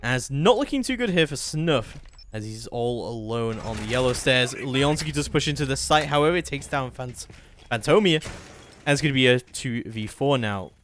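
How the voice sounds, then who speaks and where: A male esports play-by-play commentator with a mid-pitched voice. He describes the match in a flowing, detailed stream, with noticeable noise and clicks behind him. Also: lively intonation, normal speed, fairly bright tone.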